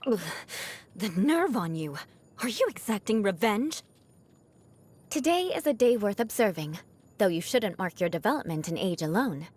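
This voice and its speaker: A young woman voicing a proud, haughty noblewoman knight in a video game, an outcast bent on revenge, with formal, dramatic diction. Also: medium pitch. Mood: exasperated, indignant, then confident, vowing. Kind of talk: video game character voice lines.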